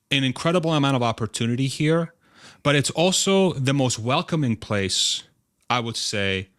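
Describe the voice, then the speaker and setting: Smooth-voiced man in his thirties. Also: mid-range voice, a bit bright. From Spain, then United States from age twelve. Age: 30s. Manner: earnest, enthusiastic. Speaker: podcast guest. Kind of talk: casual interview chat.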